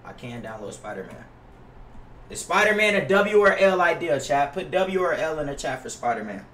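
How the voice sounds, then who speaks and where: A man's voice: on the high side, sing-song, moderate pace, a bit bright. Young American man streaming, roomy distant mic. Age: young adult. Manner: slangy, profane, repeating himself. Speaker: game streamer. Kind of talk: casual livestream chat with viewers. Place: indoor room at a gaming setup. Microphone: gaming headset mic.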